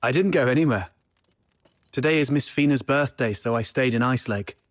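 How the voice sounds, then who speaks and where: A man's voice: mid-range voice, moderate pace, no echo, studio quality, natural voice. A man voicing a protective older brother in a video game, casual and caring with a playfully boastful streak. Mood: playful, evasive.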